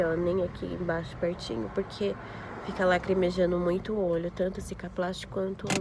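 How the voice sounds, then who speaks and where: A young woman vlogging casually to her phone camera, congested with a flu and a scratchy throat, explaining her skin recovery slowly. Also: fairly low pitch, creaky. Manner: talks slowly through skin recovery, showing details. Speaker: beauty vlogger. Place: inside a parked car, later a home sofa. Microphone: phone camera at arm's length.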